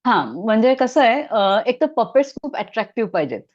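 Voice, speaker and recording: A woman's voice: fairly high pitch, very animated, brisk, lo-fi sound. A middle-aged woman puppeteer, answering interview questions in a reflective, casual way with frequent hesitations. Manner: reflective, many hesitations, laughs softly.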